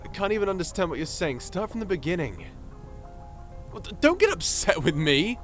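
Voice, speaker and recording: Man playing an animated, stammering, flustered friendly god. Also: high voice, brisk, faint music behind. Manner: stammering, chatty, modern casual speech. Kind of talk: audio roleplay voice acting.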